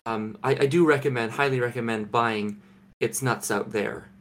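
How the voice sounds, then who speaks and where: A young Canadian man co-hosting a podcast from home, deadpan and mock-serious, with halting restarts. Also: mid-range voice. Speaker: podcast co-host. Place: home, remote recording.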